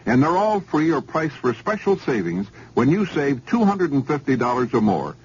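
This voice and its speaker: A man's voice: medium pitch, sing-song, moderate pace, darkish tone, husky. A man reading a radio commercial with upbeat salesman patter. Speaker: commercial announcer. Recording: dull old radio broadcast.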